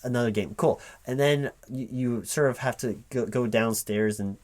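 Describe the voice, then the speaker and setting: A man playing a polite, flustered hiring manager in an improvised interview roleplay, speaking aloud in a halting, rambling, stammering way. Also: medium pitch, darkish tone, close to an everyday voice. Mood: bemused. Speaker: recruiting manager in a one-sided roleplay.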